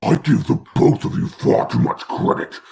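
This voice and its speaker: A man voicing an imperious male demon lord of hell in a fan comic dub, raspy and menacing in slow speeches. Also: a little mellow, a little hiss or hum, natural voice. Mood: commanding. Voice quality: deep, raspy.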